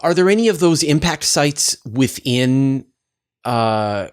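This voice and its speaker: A man's voice: mid-range voice, animated, slow, smooth. A man hosting a casual science interview, asking questions in an informal, inquisitive way. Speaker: interviewer, host.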